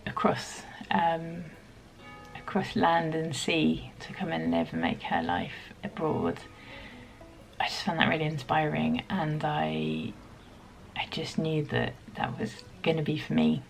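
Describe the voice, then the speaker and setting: A woman in her thirties from the UK, chatting reflectively to camera in a home vlog, pausing often with ums. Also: low-pitched, animated, creaky, faint music behind, rough recording. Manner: reflective, soft-spoken, many pauses and ums. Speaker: vlogger. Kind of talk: casual chat to camera.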